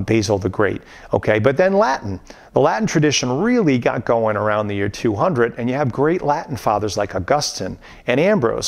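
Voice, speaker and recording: A middle-aged man lectures straight to the camera from a room at home. His voice carries a gravelly edge at a mid pitch. He teaches earnestly, stressing key points and restarting sentences as he goes. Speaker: theology lecturer. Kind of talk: recorded course lecture. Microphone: camera framed at chest, facing viewer.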